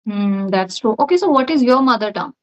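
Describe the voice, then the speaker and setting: A woman in her thirties with an Indian accent speaks earnestly in a relaxed chat and often agrees with quick affirmations. Her voice sits fairly high, and the recording has a slightly dull, lo-fi quality. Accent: Indian English. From Uttar Pradesh, India. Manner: earnest, with short affirming interjections. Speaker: conversation partner. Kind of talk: casual chat. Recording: dull, lo-fi sound.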